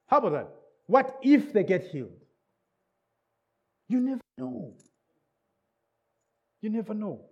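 A man's voice: high voice, big pitch swings, unhurried, gravelly, pauses often, dark tone, breathy edge, dull audio. A man preaching a sermon in a church service in England, English not his first language, repeating key words and checking in with the listeners.

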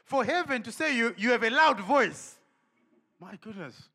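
A man preaching a sermon with lively energy, laughing and firing questions at the congregation. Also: very high voice, normal speed.

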